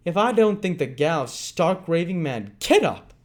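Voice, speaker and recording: A man doing a brutal robber who barks his threats loud and gruff. The voice rides up and down with lively swings of pitch in a dramatic read-aloud story. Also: moderate pace, lightly acted. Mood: angry. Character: brutal, bullying grown male robber.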